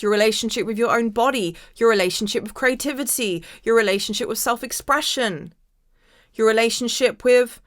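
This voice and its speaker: Slightly hoarse woman doing a spiritual pep talk podcast, emphatic, repeats stuff, dry close sound. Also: mid-range voice, fairly bright tone, no room sound. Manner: emphatic, repeats key phrases. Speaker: podcast host. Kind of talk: motivational spiritual monologue to listeners.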